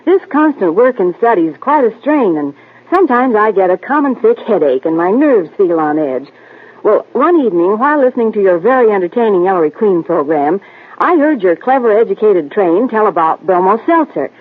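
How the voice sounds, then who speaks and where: An actress playing an earnest, hardworking listener reading a scripted 1940s radio testimonial. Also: female voice, medium pitch, big pitch swings, brisk. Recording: thin, tinny vintage radio.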